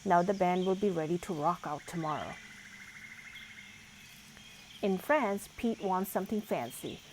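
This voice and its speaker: Low-pitched woman reading a children's story. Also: animated, a little slow, a little hiss or hum. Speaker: read-aloud storyteller. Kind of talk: scripted children's picture book narration.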